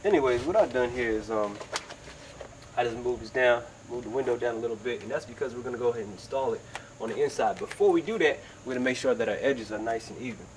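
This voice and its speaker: A man getting over a cold walks viewers through a how-to job beside a car outdoors, sniffling and clearing his throat. He talks in a relaxed, chatty way with lots of fillers, at a middling pitch. His camera mic picks up clear outdoor ambient noise behind him. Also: fast. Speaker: DIY video maker. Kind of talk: casual how-to tutorial.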